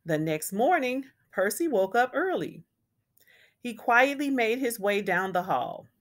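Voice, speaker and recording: A woman reading a children's picture book aloud as a storyteller, with deliberate, emphatic phrasing for young listeners. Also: fairly high pitch, very animated. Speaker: read-aloud storyteller and educator.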